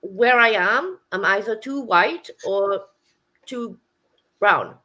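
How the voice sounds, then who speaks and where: Woman, podcast host, casual, curious, frank, bright tense voice, mid pitch. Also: lively intonation, slow pace. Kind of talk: casual interview chat.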